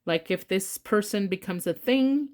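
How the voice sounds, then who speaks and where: A woman's voice: mid-range voice, lively intonation, a little slow, darkish tone. Blunt, chatty woman reading tarot. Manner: blunt, chatty, swears freely, rambling asides. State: congested. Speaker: tarot reader. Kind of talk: casual tarot reading to camera.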